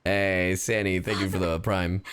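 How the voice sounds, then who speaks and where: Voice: low-pitched, level tone, unhurried, fairly bright tone, clear, resonant tone. A game streamer giving casual livestream commentary in a playful, slangy, joking way, with some swearing.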